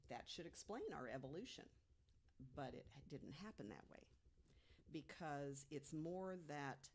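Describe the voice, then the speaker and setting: A woman's voice: low voice, a little mellow. A woman speaking casually as a podcast guest, explaining things in a good-humored storytelling way. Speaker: guest panelist.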